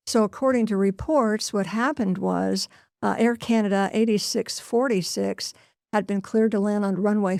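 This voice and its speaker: A woman working as a news correspondent, explaining a story in a deliberate way, restating key points as she goes. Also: mid-range voice, gravelly, dark tone, dry recording, clean studio sound. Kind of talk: news report, semi-scripted explanation.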